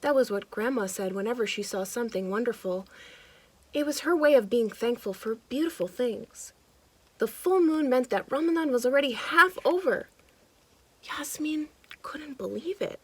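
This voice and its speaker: A woman's voice: a little high, normal speed, husky. A woman reading a children's picture book aloud expressively, with asides spoken directly to young listeners. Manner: animated, addressing children directly, pointing things out. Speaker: children's storytime host and reader.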